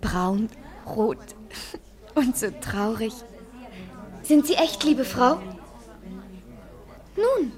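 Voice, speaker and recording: A woman's voice: fairly high pitch, very animated, extremely slow, long pauses, darkish tone, full character voice. An actress playing a naive, tipsy, wistful young woman in a radio play, speaking in a plaintive, searching manner. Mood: eager, dreamy.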